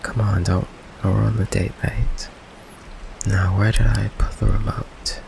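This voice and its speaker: A man acts as a nervous goth vampire boyfriend in an intimate ASMR roleplay. His voice is very low and unhurried, full of stammers and evasions, yet affectionate. Rain runs clearly behind him. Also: little pitch movement, background noise. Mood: defensive, flustered. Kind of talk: ASMR roleplay, intimate one-sided conversation. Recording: rain ambience behind close voice.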